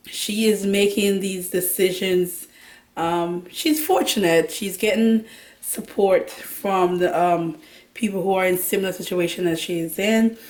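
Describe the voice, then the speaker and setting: A woman with a hoarse, worn voice and a Jamaican accent, chatting casually as a vlog host and laughing now and then. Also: medium pitch, roomy sound. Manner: laughs often, relaxed chatty talk. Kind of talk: casual chat about books and cooking.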